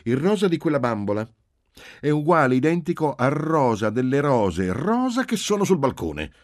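A man's voice: medium pitch, very animated, moderate pace, no echo, clean studio sound, voice pushed up, close to an everyday voice. A man reading a story, voicing a willful, pouty little girl who talks with insistent, self-important emphasis. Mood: bossy. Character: small girl, willful, pouty, bossy know-it-all.

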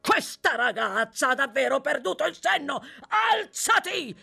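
A woman's voice: on the low side, very animated, normal speed. A woman voicing a brutal, short-tempered male thief in an audiobook, with a brusque, bullying, sneering manner. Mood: furious, shouting.

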